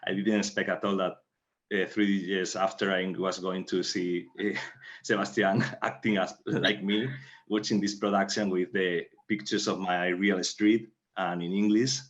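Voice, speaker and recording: Very low, muffled Spanish-accented man. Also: flat delivery, a little slow. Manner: non-fluent English, careful phrasing. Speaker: playwright. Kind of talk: recounting in interview. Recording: dull, muffled audio.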